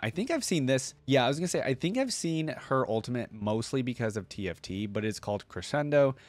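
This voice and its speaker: A young man with a fairly low voice hosts a casual reaction video. He talks informally, explaining as he goes. The sound is dry and close, from a microphone at his desk indoors. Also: no room sound. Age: young adult. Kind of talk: casual reaction commentary with some reading aloud. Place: small room indoors at a desk.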